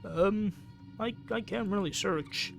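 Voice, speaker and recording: A man's voice: sits high, takes it slowly, gravelly, faint music behind, pitched above the performer's own voice, exaggerated voice. A man voicing a scared, hesitant injured schoolboy who stammers, in a visual novel game.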